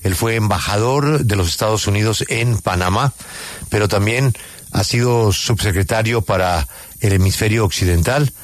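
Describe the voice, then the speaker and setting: A man's voice: fairly low pitch, no echo. A Colombian man hosting a radio interview, introducing his guest and putting direct questions to him. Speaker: radio host.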